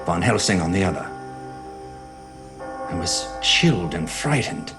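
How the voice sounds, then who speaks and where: An actor playing a sceptical, fearful doctor in a gothic audio drama, speaking in an earnest, dramatic way. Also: male voice, fairly low pitch, close to an everyday voice. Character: haunted male doctor, sceptical and fearful, recounting horrors. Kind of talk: gothic audio drama diary narration and dialogue. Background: music.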